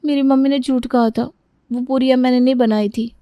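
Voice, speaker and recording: A woman voicing an earnest, expressive young daughter-in-law in a Hindi cartoon story, pleading and eager. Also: high-pitched, a little fast, no echo, dull audio, close to an everyday voice. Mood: confessing.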